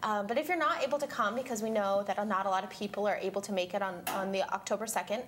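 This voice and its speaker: A woman's voice: medium pitch, fast, fairly bright tone. Woman, charity organizer, upbeat, explaining, faint background noise. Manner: fluent, upbeat, filler "um". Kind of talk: explaining informally. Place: TV studio.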